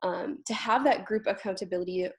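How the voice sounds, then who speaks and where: A young woman talks as a panelist on a video call. She explains her points in an explanatory discussion style, at a middle pitch. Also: brisk. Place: indoor room on a video call.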